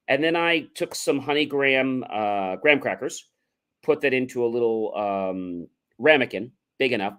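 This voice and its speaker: A man's voice: medium pitch, a bit bright. A man hosting a motivational livestream, talking in a casual, rambling, conversational way with emphatic asides. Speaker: livestream host, motivational speaker.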